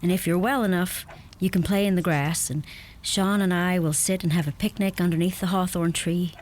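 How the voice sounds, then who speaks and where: A woman playing a gentle American newcomer to rural Ireland in a radio play. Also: fairly low pitch, fairly even intonation, moderate pace, close to an everyday voice. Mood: tender. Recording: noisy radio play, sheep sounds behind.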